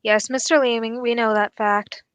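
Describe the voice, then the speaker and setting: A young woman plays a keen and courteous detective in an old-time radio mystery. The voice is high and very dry, with formal, deliberate phrasing that stays composed. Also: fairly even intonation, a bit bright, clear, resonant tone, dry recording, dull audio, lightly acted. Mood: pointed, assured. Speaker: actor in a student radio drama.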